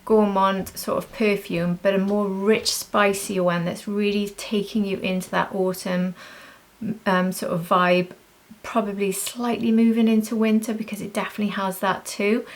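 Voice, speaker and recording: A woman with an average-pitched voice that often crackles into fry. She talks like a beauty vlogger going through favourite products, chatty and informal. Her delivery gushes, leaning on repeated words for emphasis. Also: little pitch movement, moderate pace. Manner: gushing, emphatic repetition. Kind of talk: casual chatty product review.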